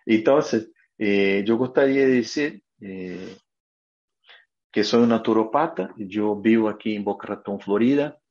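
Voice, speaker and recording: A naturopath from Brazil, speaking Spanish with a Brazilian Portuguese accent as the guest in a video interview, earnest and motivational in short emphatic phrases. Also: male voice, fairly low pitch, unhurried, darkish tone, highs cut off.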